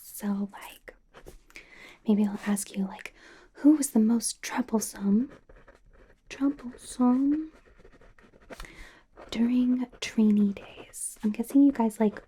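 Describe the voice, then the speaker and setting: A woman playing a bubbly, chatty young female K-pop idol in an ASMR roleplay, rambling casually and confidingly in a breathy whisper. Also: a little high, dark tone, a little hiss or hum. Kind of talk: ASMR personal attention roleplay.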